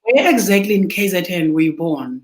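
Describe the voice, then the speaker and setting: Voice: mid-range voice, very animated, a little fast. An interview host asking questions in a casual way, often stumbling and repeating words. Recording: echoey room.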